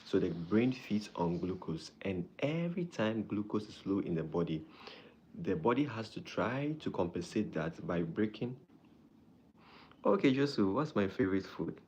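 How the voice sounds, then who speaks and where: Guy doing a live stream, real low voice, keeps repeating names, bit of room hum behind. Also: sing-song, normal speed, a little mellow, muffled recording. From Ghana. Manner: friendly, greets viewers by name, repeats phrases. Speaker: doctor hosting a live stream. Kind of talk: live-stream chat with viewers.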